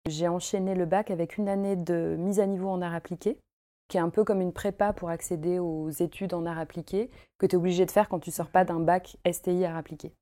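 A woman's voice: fairly low pitch, fairly even intonation, brisk, dark tone. A woman in her thirties, from Paris, chatting at home about her textile work with many fillers and easy laughs. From Paris, France. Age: 30s. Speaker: guest, costume maker and embroiderer. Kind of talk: casual interview chat.

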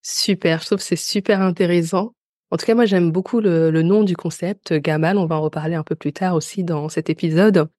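A woman's voice: lowish voice, steady tone, a little fast, warm tone, no room sound, clean studio sound. A woman hosting a conversational interview podcast and putting questions to her guest.

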